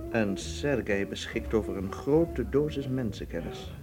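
Radio play leading man, played by an actor: lowish voice, guarded, dry, clipped phrasing, naturalistic. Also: a little slow, music in the background, lightly acted. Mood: guarded, wary. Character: guarded, intimate suitor, abrupt and wary.

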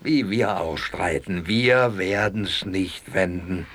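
An actor playing a wary, blunt manservant who also comments on events in a theatrical verse radio play. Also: male voice, sits low, takes it slowly, fairly bright tone, full character voice. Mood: wry commentary.

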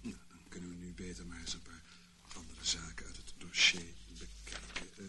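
An actor playing a sarcastic, mock-polite intelligence official in a radio play, speaking dry, leisurely officialese. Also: male voice, low-pitched, little pitch movement, normal speed, lightly acted. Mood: dry, sardonic. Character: wry, sarcastic male intelligence official, calmly toying with his captive. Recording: noisy old radio play recording.

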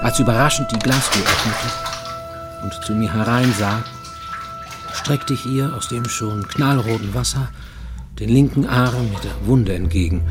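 An actor playing a brooding, lonely man confessing his troubled youth in a radio play, halting, intense and confiding, often close to whispering. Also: lowish voice. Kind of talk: dramatic first-person monologue in a radio play. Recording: studio radio play with music underneath.

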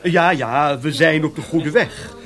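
An actor playing a genteel, patronising aristocratic marquis in a radio drama. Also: male voice, fairly high pitch, a little slow, fairly bright tone. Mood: approving, amused.

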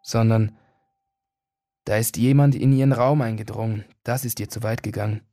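An actor playing a reflective, plainspoken brother in a radio drama, musing conversationally and haltingly about his sister. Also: lowish voice, steady tone, mellow, dry recording, clean studio sound, natural voice. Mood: rueful, musing. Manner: colloquial, musing, halting reminiscence. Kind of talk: radio drama monologue, conversational first-person recollection. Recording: music under the voice.

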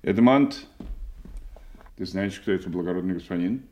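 An actor playing a worldly, jovial middle-aged nobleman in an audio play, wry, unhurried and courtly. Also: low-pitched, darkish tone, lo-fi sound, lightly acted. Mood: wry, genial. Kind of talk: theatrical audio play acting.

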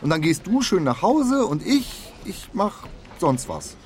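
An actor playing a nervous, defensive call centre worker, hesitant and colloquial, in a German radio crime drama. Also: male voice, high voice, very animated, measured pace, darkish tone, a little hiss or hum.

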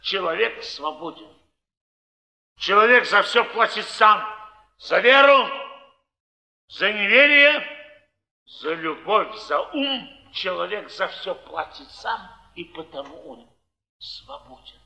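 An actor playing a tipsy, proud ex-convict cardsharp who philosophizes fervently in a declamatory Russian stage style. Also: male voice, high voice, expressive, creaky, sharp tone, full character voice. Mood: expansive, fervent. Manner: declamatory, emphatic, with long weighty pauses. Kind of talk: 1950s Russian theatrical stage acting.

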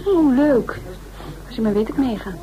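A grumpy, jealous woman in a radio play, her pitch swinging in lively arcs. She answers with sulky, sarcastic barbs, and chatter sits behind her. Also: moderate pace, lo-fi sound. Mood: irritated. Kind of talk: radio drama acting in Dutch.

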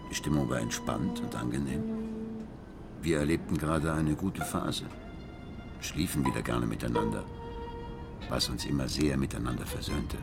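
Embittered betrayed husband, very low gravelly voice, intimate radio monologue over music. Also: male voice. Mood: reflective. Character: embittered betrayed husband, confiding his marriage story.

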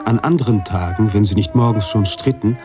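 A man playing a brooding, contemplative narrator-author in a literary radio drama, speaking deliberately with pauses between phrases. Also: on the low side, over music, lightly acted. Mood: musing. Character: brooding male narrator-author, contemplative, troubled by his heroine.

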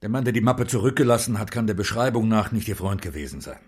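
An actor playing a stern police inspector who questions slowly and formally, with a husky voice. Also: male voice, lowish voice, expressive, a little mellow. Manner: slow, deliberate, formal questioning. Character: stern male police inspector, measured and probing. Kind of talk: audio drama acting.

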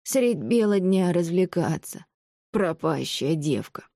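A woman's voice: fairly low pitch, lively intonation, slow pace, vocal fry, warm tone, no room sound, studio quality, heavily acted. A woman voicing a hostile old woman in an audiobook, scolding and wailing insults. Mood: scornful. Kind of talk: audiobook character acting.

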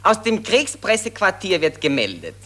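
A smug young-blooded Austrian lieutenant in a vintage radio play. His delivery is lively and sharp, full of colloquial slang and breezy self-satisfaction. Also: sits high, moderate pace, slight background noise, full character voice. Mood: reading, self-righteous. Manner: chuckling, self-satisfied, drawling colloquial officer talk. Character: jolly, complacent Austrian army lieutenant reading newspapers aloud. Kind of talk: 1940s German radio drama acting.

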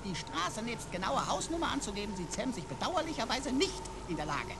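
Pompous robot sidekick for sci-fi radio drama: florid, smug, highly animated delivery over clear background noise. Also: male voice, fairly quick, heavily acted. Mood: smug, pedantic. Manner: pompous, florid, mock-learned phrasing. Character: pompous, wisecracking male computer companion, smug show-off. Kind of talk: German radio play acting.

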